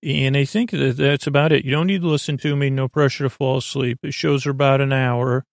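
A man hosting a bedtime podcast in a meandering, halting monologue, with a gravelly voice and a lulling, soothing manner. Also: medium pitch, fairly even intonation, no room sound, clean studio sound. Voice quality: gravelly, creaky. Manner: rambling, halting, self-interrupting. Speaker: podcast host.

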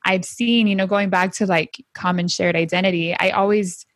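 A woman's voice: on the low side, normal speed, no room sound. A young woman from San Diego with a Honduran family, talking casually and often saying "like". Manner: chatty, heavy use of "like", animated quoting. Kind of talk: casual chat.

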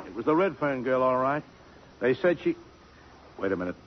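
A man's voice: medium pitch, big pitch swings, moderate pace, close to an everyday voice. An actor playing a watchful male police colleague on wiretap duty, clipped and casual, in a 1950s radio drama. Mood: alert. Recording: muffled, hissy 1950s radio broadcast.

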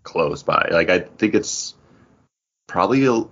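A man's voice: low voice, lively intonation, a little slow, a bit bright, highs cut off. An American man, a podcast host, chatting casually on a show.

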